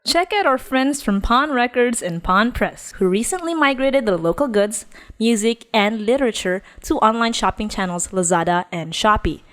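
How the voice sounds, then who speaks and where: Podcast co-host, female, Filipino accent, higher-pitched voice, casual banter and plugs. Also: lively intonation, normal speed.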